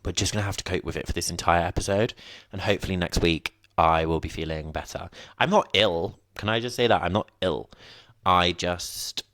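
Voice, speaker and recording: A man's voice: sits low, a little fast, a bit bright, no echo, studio quality. A man, chatting casually and rambling as a podcast host, with a sniffly nose. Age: 20s. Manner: chatty, rambling, self-mocking asides. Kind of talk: casual solo podcast chat. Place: at home, indoors.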